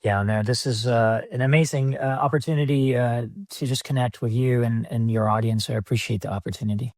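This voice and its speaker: A man in his fifties, a podcast guest, recalling his past in a reflective, unhurried way with many fillers. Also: medium pitch, fairly even intonation, a little mellow, dry recording, studio quality. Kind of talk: conversational interview answers.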